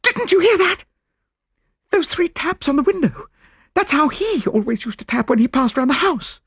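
A man performs a terrified widow in an audiobook story. The voice is very high and shrill, swinging wildly in pitch. The delivery is frantic and gasping, on the edge of hysteria. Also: sing-song, normal speed, fairly bright tone, dry recording, studio quality, heavily acted. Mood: frightened. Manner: frantic, breathless exclamations. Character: terrified hysterical widow, a woman prone to shrieking. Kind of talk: audiobook character voice.

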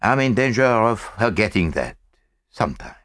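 A man's voice: lowish voice, sing-song, normal speed, breathy edge, dry recording, clean studio sound. A man voicing a fussy, courteous elderly Belgian detective with precise, mannered foreign phrasing, in an audiobook. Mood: genial. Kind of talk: audiobook character voice.

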